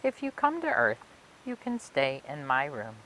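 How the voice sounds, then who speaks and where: A woman reading a children's picture book aloud for a library storytime, expressively and phrase by phrase with emphatic pauses. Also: sits low, huge pitch swings, a bit bright, low-quality recording. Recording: clear background noise and hiss.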